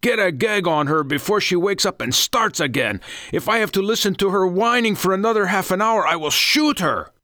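A man's voice: high-pitched, animated, normal speed, few pauses, pushed and bright, dry recording, studio quality, pitched above the performer's own voice. A man voicing a brutal, short-tempered middle-aged kidnapping gang boss in an audiobook thriller. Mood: furious, threatening.

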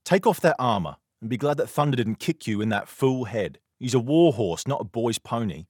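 A man voicing a young knight who scolds in a stern, gruff manner in an audiobook. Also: medium pitch, animated, brisk, a little mellow, dry recording, clean studio sound, voice pushed up. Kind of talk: audiobook character voice.

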